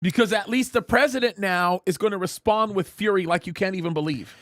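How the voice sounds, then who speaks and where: A man's voice: high voice, a little fast, a bit bright, no echo, clean studio sound. A male host chatting casually on a live show, joking and reading out viewer comments. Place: studio.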